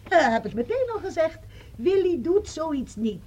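A woman's voice: very high voice, big pitch swings, normal speed, a little mellow, a little hiss or hum. An actress playing a talkative, defensive woman friend, chatting on the phone in a radio play. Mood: chattering. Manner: chatty, defensive, self-justifying.

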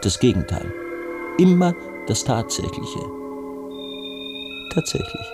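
An actor playing an obsessive, embittered male recluse in a radio drama monologue, brooding and insistent in halting phrases, with a breathy voice. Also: mid-range voice, wildly swooping pitch, over music. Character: obsessive, embittered recluse, a man devoted to his study.

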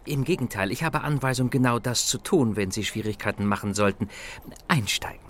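Male actor as polite henchman, radio play: medium pitch, formal, quiet threat, slight noise behind. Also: fairly quick, natural voice. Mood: polite menace. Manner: courteous, formal, quietly menacing. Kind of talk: radio drama dialogue.

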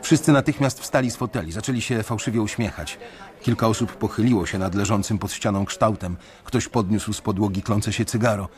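A man's voice: on the low side, fairly quick. A man narrating a radio drama in the first person, tense and brooding. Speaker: narrator and lead character.

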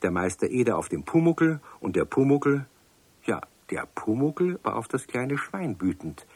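Husky storyteller man. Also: medium pitch, very animated, a little slow, a little mellow, no echo. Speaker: narrator. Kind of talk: storytelling narration for children.

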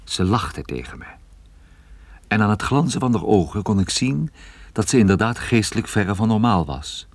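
A man's voice: on the low side, lively intonation, normal speed, no room sound. Een man die als privédetective in een hoorspel zijn zaak navertelt, strak en gespannen. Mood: tense narration. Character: male private detective recounting his case, tense and terse. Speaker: first-person narrator. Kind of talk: scripted radio drama narration.

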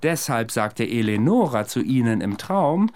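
A man's voice: mid-range voice, very animated, measured pace, darkish tone. A man acting in a radio play as a confident, eccentric amateur psychoanalyst, eloquent, probing and provocative. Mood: triumphant, insistent.

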